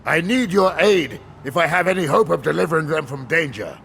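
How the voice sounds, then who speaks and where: A man's voice: on the high side, lively intonation, fairly quick, vocal fry, fairly bright tone, slight background noise. An actor playing a weary, loyal huntsman warrior in a video game cutscene, dramatic and earnest. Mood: grave pleading.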